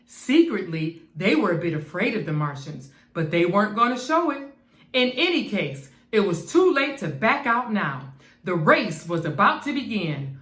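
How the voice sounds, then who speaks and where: A woman reading a children's bedtime story aloud as an expressive narrator. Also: medium pitch, moderate pace. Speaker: storybook reader. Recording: echoey room.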